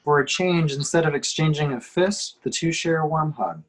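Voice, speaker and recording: A man's voice: a little high, moderate pace. A man reading scene headings and action lines as scripted narration at an amateur table read. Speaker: reader of screenplay action descriptions.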